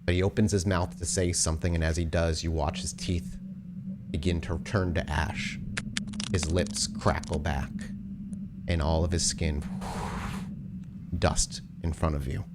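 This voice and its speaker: A man narrating a tabletop roleplaying game as storyteller, in a measured, descriptive and dramatic cinematic style. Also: sits low, flat delivery, very noisy. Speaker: game master, storyteller of an actual play podcast.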